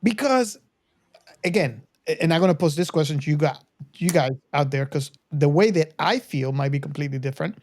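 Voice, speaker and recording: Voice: medium pitch, animated, a little fast, a little mellow. A podcast host talking casually about a film, with rambling asides to listeners. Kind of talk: casual movie discussion.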